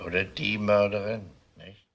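An actor playing a methodical police inspector in a crime drama, questioning in a deliberate, polite manner. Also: male voice, fairly low pitch, flat delivery, fairly bright tone, lo-fi sound. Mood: probing. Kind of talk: German TV crime drama acting.

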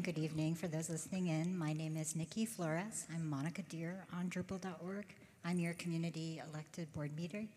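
Woman, low voice, cheerful, reverberant hall. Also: dark tone. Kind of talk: self-introduction.

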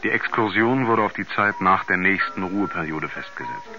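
A man acting a sober narrator and confidant in a 1970s radio drama, in measured, articulate storytelling. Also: low-pitched, music in the background, natural voice. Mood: sober, grave. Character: sober male narrator, astronaut's concerned confidant. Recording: narrow-band 1970s radio play recording.

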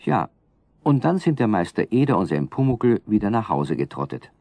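The storyteller of a children's radio play. His voice is fairly low, with lively swings in pitch and a dry, close sound. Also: normal speed, no room sound. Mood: storytelling. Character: male storyteller of a children's tale. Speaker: narrator.

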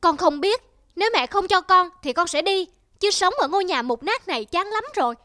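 Woman voicing a petulant high-voiced young son. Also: animated, brisk, sharp tone, no echo, studio quality, full character voice. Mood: whiny demanding. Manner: petulant, complaining. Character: selfish, whining, demanding young adult son. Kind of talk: animated moral-tale dialogue.

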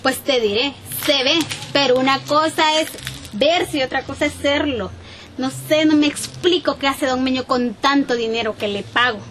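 A woman's voice: high-pitched, moderate pace, a bit bright. A young Salvadoran woman playing a worried, indignant farm owner in an amateur sketch, emphatic and repeating herself as she explains. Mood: defensive, explaining. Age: young adult. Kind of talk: amateur improvised sketch acting. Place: outdoors in a rural yard. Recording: outdoor camera sound, faint ambient noise.

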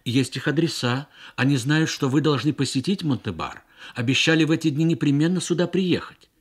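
Earnest young woman interpreter, read by a man, husky voice, measured explanations, radio storytelling. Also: on the high side, lively intonation, voice pushed up. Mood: earnest, brisker. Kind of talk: Soviet radio story reading.

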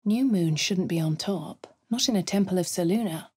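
An actress voicing a posh female adventurer with an English accent, speaking in a breathy, often half-whispered way. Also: fairly low pitch, moderate pace, darkish tone, no echo. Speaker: voice actor for a player character. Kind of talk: video game voice lines. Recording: dry studio booth.

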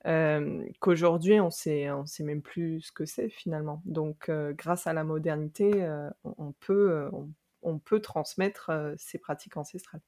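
A woman's voice: low-pitched, fairly even intonation, unhurried. A woman hosting a podcast interview, talking conversationally with frequent hesitations and easy laughter. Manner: warm, laughs easily, hesitant restarts. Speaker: podcast host.

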